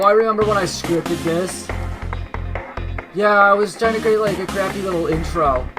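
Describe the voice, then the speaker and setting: A young man casually commenting with profanity, mocking his own old videos. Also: high voice.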